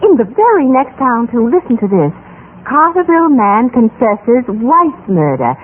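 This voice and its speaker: A woman's voice: on the high side, big pitch swings, measured pace, husky, full character voice. An actress playing a kindly, trusting, fussy housewife with exclamatory, motherly delivery in an old-time radio drama. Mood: shocked, gossipy. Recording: thin, muffled old radio recording.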